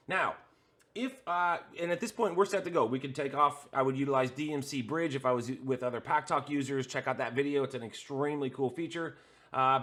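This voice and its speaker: A man's voice: on the high side, a bit bright. A man hosting a hands-on tutorial, explaining a setup step by step with frequent "uh" fillers while pointing at the devices in front of him. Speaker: host.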